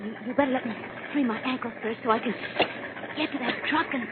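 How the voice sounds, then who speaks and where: A woman's voice: a little high, fast, narrow, tinny audio, close to an everyday voice. An actress playing an anxious young woman, a newspaperman's companion, with hesitant, stammering urgency in 1940s radio crime drama style. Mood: frightened. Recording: noisy, band-limited old radio recording.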